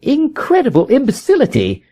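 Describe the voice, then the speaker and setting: A man performs a commanding detective from a Victorian mystery story. His voice leaps high and swings widely, driven by urgency. He gives decisive, emphatic orders in formal diction, with no patience for delay. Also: huge pitch swings, moderate pace, warm tone, exaggerated voice. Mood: impassioned, exasperated. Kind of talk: audiobook character voice in a detective story.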